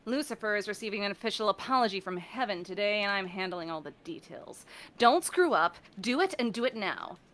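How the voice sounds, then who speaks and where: A woman's voice: mid-range voice, expressive, brisk, a bit bright, close to an everyday voice. A woman voicing a menacing female demon office boss, commanding, mocking and impatient in a sardonic way. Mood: brisk, threatening.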